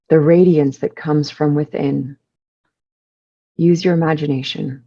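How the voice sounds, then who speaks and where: Low-pitched woman leading a guided meditation. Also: warm tone, dull audio. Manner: measured, with pauses between short phrases. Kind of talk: guided meditation, slow soothing instruction.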